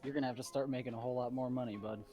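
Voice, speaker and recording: A man's voice: medium pitch, fairly even intonation, rapid-fire, music in the background. A man chatting casually as a stream guest, with a dry, teasing sense of humor. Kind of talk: casual chat.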